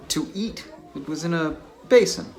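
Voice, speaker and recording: A man's voice: a little high, unhurried, natural voice. A man playing a reserved, naive academic bachelor in a dramatized novel, mild and donnish. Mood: mild, earnest.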